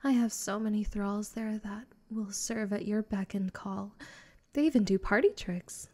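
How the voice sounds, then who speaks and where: Slow-talking, smug, lovestruck vampire girl played by a woman. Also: mid-range voice, a little mellow, close to an everyday voice. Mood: doting, smug. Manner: haughty, doting, teasing, drawn-out delivery. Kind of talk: comedic roleplay audio drama.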